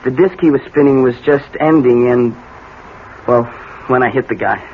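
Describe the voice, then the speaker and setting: An actor playing an earnest, guilty American teenage son with a hesitant, deferential manner, in 1970s radio drama style. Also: male voice, mid-range voice, normal speed, lightly acted. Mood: nervous, confessing. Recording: muffled, noisy old radio recording.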